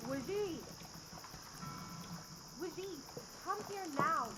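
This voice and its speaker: A woman's voice: very high voice, very slow, noisy background, exaggerated voice. A woman playing an irritable, imperious wife in an audio roleplay, curt and impatient.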